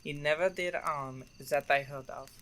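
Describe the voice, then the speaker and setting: A young man acting a loyal, courtly French duke in a Shakespeare radio play, measured and mildly weary. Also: fairly high pitch, sharp tone. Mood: loyal defense.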